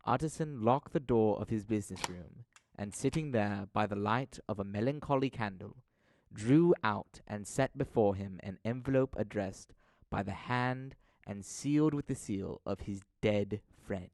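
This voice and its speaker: A man narrating an audio drama from a script, telling the story in a measured, dramatic manner. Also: lowish voice, darkish tone, no echo.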